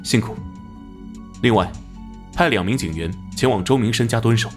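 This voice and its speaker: An actor playing a firm, resolute police captain with an authoritative manner in an animated crime drama. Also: male voice, lowish voice, moderate pace, close to an everyday voice. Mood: brisk, commanding. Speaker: police captain giving orders. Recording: music behind.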